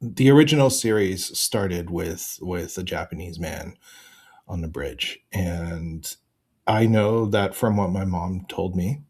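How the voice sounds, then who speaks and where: A man with a fairly low voice talks as a podcast guest. He chats casually like a fan, rambling in an animated manner and often breaking off to restart his thought. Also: takes it slowly, slightly rough.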